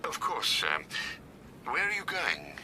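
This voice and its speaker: A fairly high, expressive old British butler, formal and deferential. He sounds loyal and anxiously pleading in a video game, over clear rumbling noise. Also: takes it slowly, full character voice. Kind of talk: video game cutscene acting.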